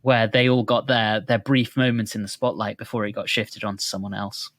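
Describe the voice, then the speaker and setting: A man's voice: lowish voice, flat delivery, fairly quick, fairly bright tone, no room sound, studio quality. A man co-hosting a music podcast, discussing bands in a casual conversational way.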